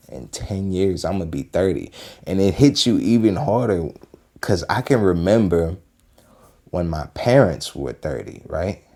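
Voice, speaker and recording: Young man, early twenties, low voice, mellow tone, casual rambling talk, lots of fillers. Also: vocal fry. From Western Pennsylvania. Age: 20s. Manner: rambling, repeats himself, many fillers. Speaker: video host reacting to a clip.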